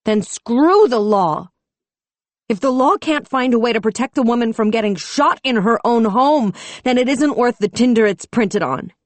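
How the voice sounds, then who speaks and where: A fierce young policewoman, off duty and raw with anger, her voice climbing high and loud as she rails and shouts, voiced by a woman narrating an audiobook. The reading is close and dry, with no room sound. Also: expressive, moderate pace, no echo, lightly acted. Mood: bitter. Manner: shouting, furious, tearful.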